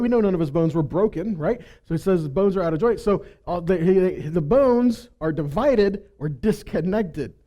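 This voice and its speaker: Guy doing a bible teaching, pretty high voice, kinda mellow, keeps asking questions as he goes. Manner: poses rhetorical questions and checks in with listeners. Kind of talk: teaching sermon with scripture readings.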